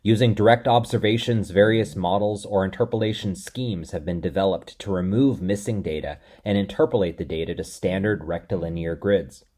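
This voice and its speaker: A man delivering a scripted lecture in a measured, steady explanatory way. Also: deep voice.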